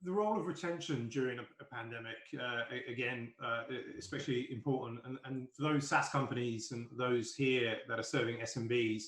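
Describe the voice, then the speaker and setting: A man hosting an interview, putting his questions conversationally in halting, hesitant phrases with repeated words. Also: mid-range voice, a bit bright. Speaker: interviewer, event host. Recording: echoey room sound.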